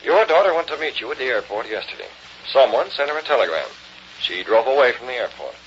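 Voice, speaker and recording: Determined young publisher, insistent, lively swooping voice, bright, noisy old radio drama. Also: male voice, medium pitch, fast, lo-fi sound. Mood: pressing. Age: young adult. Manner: insistent, clipped questioning. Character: determined, probing young newspaper publisher, secretly a masked crimefighter. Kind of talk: 1930s-40s radio drama acting.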